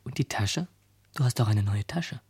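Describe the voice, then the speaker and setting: Very low, hushed confidante. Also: female voice, lively intonation, moderate pace, no room sound, exaggerated voice. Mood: hushed, probing. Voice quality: breathy, hushed. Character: low-voiced, cautious, secretive confidante with probing questions. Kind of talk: German radio play acting.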